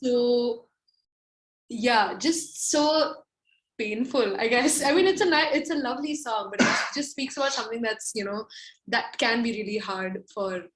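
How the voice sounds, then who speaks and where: Chatty young woman, higher voice. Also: measured pace, a bit bright, poor audio. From India. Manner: chatty, meandering, laughs often. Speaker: singer-songwriter guest. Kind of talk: casual interview chat.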